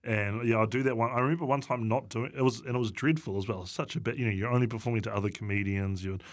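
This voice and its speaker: A man with a New Zealand accent talks as a guest comedian in a casual interview. His delivery is halting and full of pauses, with a dry, self-deprecating manner. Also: medium pitch, steady tone. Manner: halting, hesitant delivery with long drawn-out pauses.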